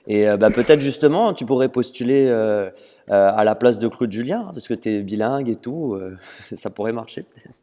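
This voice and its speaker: A man's voice: medium pitch, normal speed, mellow. Man interviewing over a reverberant call. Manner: friendly interviewer with frequent hesitation sounds. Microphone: audio call. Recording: lo-fi audio with a long reverb.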